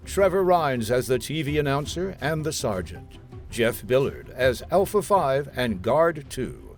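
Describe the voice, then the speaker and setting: A man's voice: mid-range voice, a little mellow. A man reading scripted closing credits as an old-time radio announcer.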